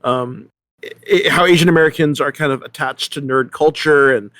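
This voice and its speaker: Middle-aged man, higher voice, rambling. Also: fairly bright tone, no room sound. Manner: rambling, halting, repeats words. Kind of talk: casual panel discussion.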